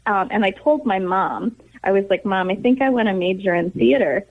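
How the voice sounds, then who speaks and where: A thin, phone-quality line carries an American woman of middle age with a medium-pitched voice. She speaks as an interview guest, telling personal stories in an animated, conversational way. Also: normal speed. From Toledo, Ohio, United States. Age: middle-aged. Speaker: interview guest, theater educator and director. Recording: narrow, tinny phone-like line.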